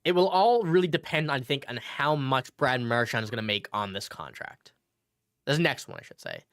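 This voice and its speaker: A man's voice: mid-range voice, big pitch swings, normal speed, fairly bright tone, no room sound, studio quality. A man hosting a casual livestream, chatting and answering viewer comments. He is a bit sick, so he sounds slightly nasal. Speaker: sports channel host.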